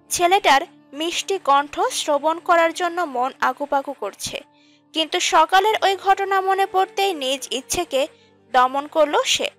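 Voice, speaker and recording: A woman's voice: sits high, a bit bright. A woman reading scripted narration for a romantic audio story. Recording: music under the voice. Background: background music.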